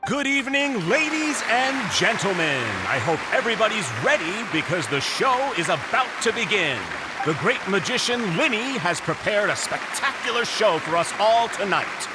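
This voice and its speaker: A grand showy stage announcer. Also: male voice, sits high, very animated, moderate pace, few pauses, loud music behind the voice. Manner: grand, theatrical. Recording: game scene with noisy crowd.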